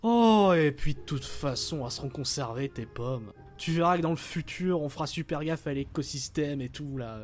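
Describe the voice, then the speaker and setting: A man's voice: fairly high pitch, lively intonation, normal speed, few pauses, natural voice. A man playing a surly, foul-mouthed teenage big brother, slangy and dismissive, in an audio drama. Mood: scornful. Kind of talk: French audio drama acting. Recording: music behind the voice.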